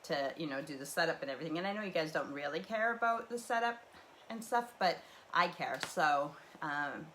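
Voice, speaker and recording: A woman's voice: low voice, animated, brisk, a bit bright, slight background noise. A woman from the prairies, hosting a casual rambling livestream from home and chatting in a meandering way, full of fillers and restarts. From Canadian prairies. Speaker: livestream host.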